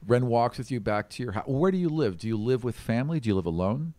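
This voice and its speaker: A man's voice: mid-range voice, animated, fast talker, mellow. A man working as game master, improvising descriptive narration in a casual, conversational way.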